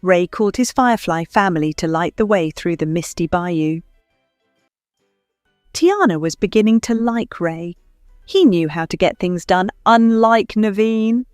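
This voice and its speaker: A woman reading a scripted children's story as narrator, in an expressive storytelling manner. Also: medium pitch, measured pace, clean tone, dry recording, studio quality. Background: faint music.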